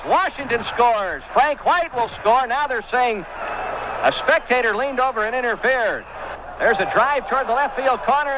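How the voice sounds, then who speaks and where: High-pitched American baseball announcer through loud noise. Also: male voice. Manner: clipped phrases, projected. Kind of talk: live play-by-play commentary. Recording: loud background noise, old game broadcast. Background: loud ambient noise.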